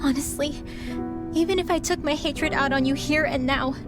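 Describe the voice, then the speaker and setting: A high, youthful girl mage voiced by a woman in a game cutscene. She is fierce, earnest and resolute, pressing each line with emphasis over loud music. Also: steady tone, normal speed, lightly acted. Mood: bitter resolve. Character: fierce, resolute young girl mage, wronged but principled. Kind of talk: video game cutscene acting.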